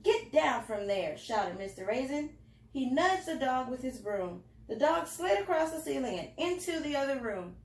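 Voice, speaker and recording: A woman's voice: high-pitched, expressive, moderate pace. A woman reading a picture book aloud to children, expressively and with little asides to the listeners. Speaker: library storytime reader. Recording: roomy, echoing indoor sound.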